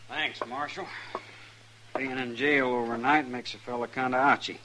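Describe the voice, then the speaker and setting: Male actor as soft-mannered young horse hand in radio western; medium pitch, crisp edge, folksy Western speech, humble and courteous. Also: fairly even intonation, normal speed, slight background noise, natural voice, rough recording. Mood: mild, forgiving. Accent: American Western. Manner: mild, polite, folksy. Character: polite, humble young horse-wrangler cowboy. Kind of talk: old-time radio western acting.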